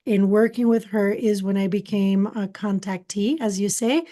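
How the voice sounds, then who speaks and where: A woman's voice: mid-range voice, normal speed, warm tone. A middle-aged woman from Costa Rica whose first language is Spanish, telling her life story earnestly as an interview guest. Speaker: guest, spiritual channeler. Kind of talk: interview answers, personal story.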